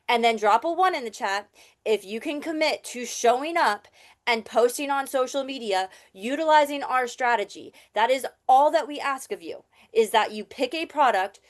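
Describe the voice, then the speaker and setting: Woman host, live sales pitch, upbeat, direct, slightly high voice.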